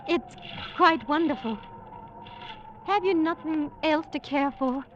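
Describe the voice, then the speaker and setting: An actress playing a frightened, fragile young woman who speaks softly and hesitantly, in an old-time radio drama. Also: sits high, slow, slight background noise. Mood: wistful. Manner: soft, pleading and hesitant. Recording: dull old radio recording.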